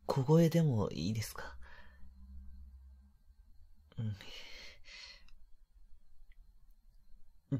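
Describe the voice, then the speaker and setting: A male voice actor playing a polite, flustered young man in a romantic voice drama, gentle and hesitant, with a soft breathy delivery. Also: medium pitch, exaggerated voice. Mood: bashful, hesitant. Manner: hesitant, bashful, apologetic, gentle.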